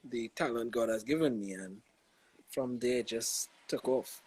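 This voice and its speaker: A man's voice: mid-range voice, a little slow, darkish tone, breathy edge, rough recording. A young man with a Trinidadian accent, a gospel artist speaking reflectively and casually in an interview. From Trinidad and Tobago. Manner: laid-back, reflective, slangy with many "you know"s. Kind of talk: casual interview over a live stream.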